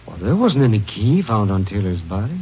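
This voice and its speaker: Animated, obliging lab man. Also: fairly low pitch, a little fast. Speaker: actor in a radio crime drama. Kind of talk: old-time radio acting. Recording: dull, noisy vintage radio recording.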